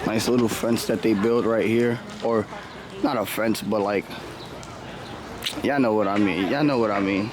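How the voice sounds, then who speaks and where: A man, hoarse from losing his voice, casually vlogging his walk around a city zoo in laid-back slang. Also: fairly low pitch, steady tone, normal speed, long pauses, noisy background. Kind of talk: casual vlog narration.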